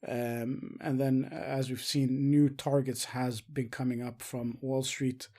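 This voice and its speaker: A man presenting investing commentary as a show host, speaking haltingly with frequent filled pauses. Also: mid-range voice, little pitch movement, warm tone. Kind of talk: semi-scripted stock market commentary.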